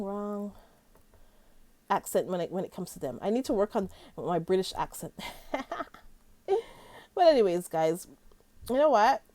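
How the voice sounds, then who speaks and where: Woman, higher voice, dry close sound, casual rambling chat, informal channel host addressing viewers. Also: a little mellow, dry recording. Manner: chatty, informal, addresses the audience directly.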